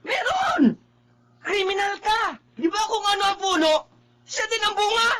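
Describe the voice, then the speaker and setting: A performer playing a bully's jeering, cowardly sidekick in a Filipino radio drama, shrill and excitable. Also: measured pace, shouting, noisy background, heavily acted.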